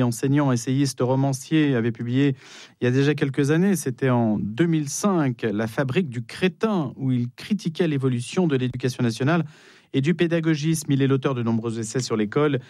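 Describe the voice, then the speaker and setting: A man's voice: medium pitch, a little mellow, no echo. A man hosting a live radio interview show, introducing and questioning guests. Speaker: radio host.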